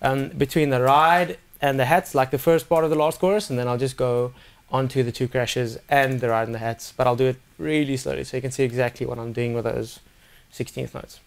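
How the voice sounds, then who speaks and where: A young South African man giving a relaxed, step-by-step drum lesson in a casual live session. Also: medium pitch, brisk, creaky, clean tone. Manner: relaxed, plain-spoken, talks through patterns step by step. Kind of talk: casual live demo explanation.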